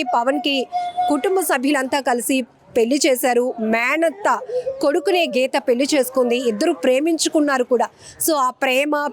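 A woman news reporter delivering an emotional, earnest report, pleading and slowing on heavy phrases. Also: sits high. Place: outdoors near a hospital morgue.

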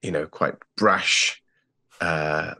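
A man in his forties from England with an English accent, recalling his family in a thoughtful, measured way on a video call. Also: rumbling low, fairly even intonation, pushed and bright, breathy edge. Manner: thoughtful, measured, with frequent restarts. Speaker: guest. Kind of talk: reflective interview talk. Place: plain-walled home room. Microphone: earbuds on a video call.

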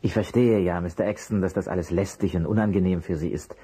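A man playing a polite, persistent private detective in an audio drama, with a husky voice and a courteous, probing way of asking. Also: sits low, steady tone, quick pace, warm tone. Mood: polite, placating. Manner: courteous, probing, hesitant at times. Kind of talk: German audio drama acting.

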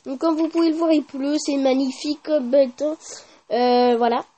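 High-pitched casual gaming YouTuber. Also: fairly even intonation, normal speed, dark tone. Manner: informal, slangy, swearing. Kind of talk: casual gameplay commentary.

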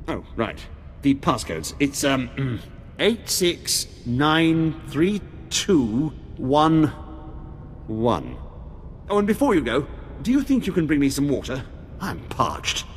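An actor playing a pompous, cowardly, whining Imperial official in a video game, haughty and fussy. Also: male voice, on the high side, a little hiss or hum. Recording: long hall reverb, music behind.

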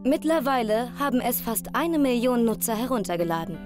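Eine Frau liest als Reporterin den vorbereiteten Text eines Magazinbeitrags. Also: female voice, a little high, lively intonation, a little fast. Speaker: reporter voice-over. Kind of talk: scripted magazine report narration. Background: loud music.

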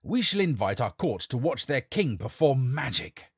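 A man's voice: medium pitch, sing-song, moderate pace, husky, no room sound, studio quality, voice pushed up, full character voice. A man voicing a vain, imperious king in a storybook reading, speaking in a commanding, threatening way.

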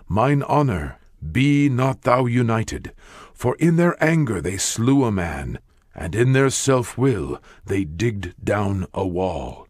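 A man narrating scripture as an audiobook, with a solemn, measured and declamatory delivery. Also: medium pitch, darkish tone, no echo, studio quality.

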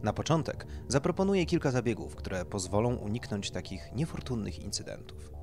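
A man's voice: on the low side, big pitch swings, fairly quick, darkish tone, soft background music. A man playing a courteous, businesslike clinic director in an audio story, reassuring like a salesman. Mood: polite, reassuring.

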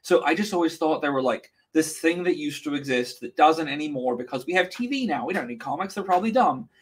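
Male guest, 30s, from New Zealand, deadpan anecdotes, home webcam sound. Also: a little high, quick pace, slight background noise. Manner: wry deadpan storytelling, many fillers. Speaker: guest comics creator. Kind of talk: casual interview chat. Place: home room, indoors. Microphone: video call webcam.

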